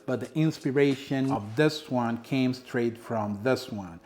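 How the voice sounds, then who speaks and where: A man's voice: mid-range voice, measured pace, a little mellow. A young man from the Dominican Republic, a native Spanish speaker with a Dominican accent, reviewing sneakers in a casual talk to camera and repeating his points for emphasis.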